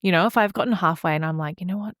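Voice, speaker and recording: Female podcast co-host, lowish voice, chatty and self-mocking, recorded dry with little room. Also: racing, fairly bright tone, no room sound. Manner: informal, self-mocking, acts out imagined quotes. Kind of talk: casual book podcast chat, reading out listener poll results.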